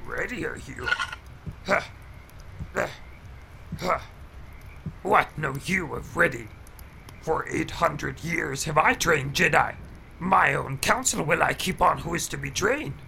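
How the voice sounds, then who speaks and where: A man playing a tiny ancient alien master in an audio drama, mischievous, cranky and wise, with a croaky voice and inverted phrasing. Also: on the high side, animated, slow, long pauses, pushed and bright, a little hiss or hum, exaggerated voice. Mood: grave, stern. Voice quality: croaky, gravelly. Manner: inverted syntax, chuckles and hums between phrases. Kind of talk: audio drama character acting.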